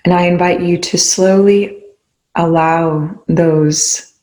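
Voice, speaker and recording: A woman leading a guided meditation in slow, measured phrases with pauses between them. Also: on the low side, steady tone, warm tone.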